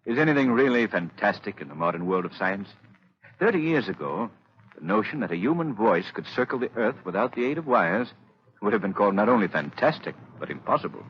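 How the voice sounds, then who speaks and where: A man's voice: lowish voice, sing-song, brisk. An actor playing a courteous, assured, wealthy young man about town in a 1930s radio drama. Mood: earnest. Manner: polite, urbane, earnest. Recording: muffled old radio recording.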